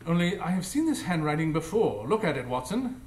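Guy playing a precise, assured detective, formal, bit high voice, echoey stage radio drama. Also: very animated, fairly quick, darkish tone. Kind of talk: live radio drama acting.

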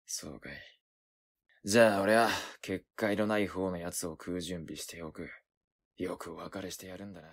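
A man voicing a gruff, blunt young accomplice in a voice drama, speaking tersely. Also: deep voice, fairly even intonation, moderate pace. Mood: subdued, resigned. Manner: terse, rough casual speech. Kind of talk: Japanese voice drama acting.

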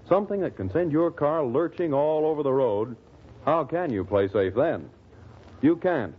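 A man working as a radio announcer, reading in an old-time sponsor-pitch style. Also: husky. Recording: tinny, band-limited old radio recording.

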